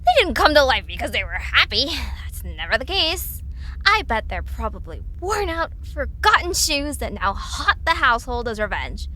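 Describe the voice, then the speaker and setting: A woman's voice: on the high side, big pitch swings, normal speed, sharp tone, poor audio. A woman playing a skeptical, anxious young woman in an audio drama, questioning everything in an emphatic, incredulous way. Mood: skeptical, uneasy.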